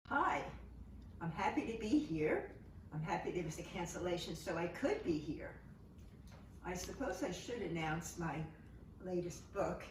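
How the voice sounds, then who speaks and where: A woman in her seventies reads poetry in a plain, conversational way, with halting asides. Her voice sits a little low. She is away from the microphone in an echoey room with noticeable background noise. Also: very animated, far from the mic. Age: 70s. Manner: conversational, halting, matter-of-fact. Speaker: poet and author. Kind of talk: informal poetry reading with spoken asides. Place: room, remote home-style recording.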